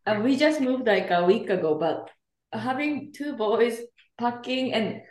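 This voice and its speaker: A woman's voice: a little high, lively intonation, unhurried, a little mellow, roomy sound. A woman from Japan hosting a parenting podcast in casual chat, asking short questions and giving brief encouraging replies. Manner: short encouraging responses, simple phrasing. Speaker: podcast host.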